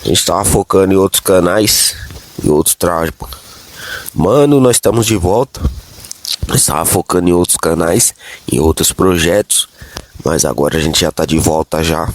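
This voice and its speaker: A Brazilian man with a Brazilian Portuguese accent, hoarse from a cold, giving a slangy opinion monologue as a channel host. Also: low-pitched, moderate pace. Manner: slangy, addresses viewer as "mano", rising emphasis on key points. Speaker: YouTube commentary host.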